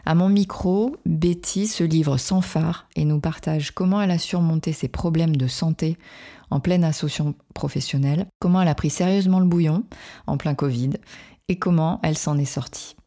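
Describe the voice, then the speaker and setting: A woman's voice: low voice, normal speed, mellow. A woman hosting a podcast as a career coach, reading an upbeat, emphatic scripted introduction and then greeting her guest.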